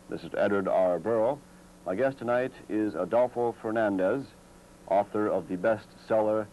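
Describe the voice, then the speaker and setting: Guy doing an eager tv reporter, lowish voice, stiff formal intro. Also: mellow, slight background noise, natural voice, rough recording. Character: eager male television interviewer. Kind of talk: amateur comedy film acting.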